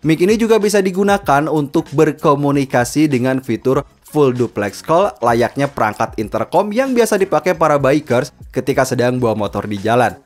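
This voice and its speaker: A man's voice: mid-range voice, animated, normal speed, soft background music. A man with an Indonesian accent narrating a scripted gadget review.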